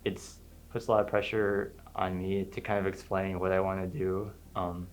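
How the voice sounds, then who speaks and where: A man's voice: deep voice, level tone, moderate pace, slight background noise. A man in his twenties, a first-generation college student, speaking openly and a bit hesitantly. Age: 20s. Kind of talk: documentary interview.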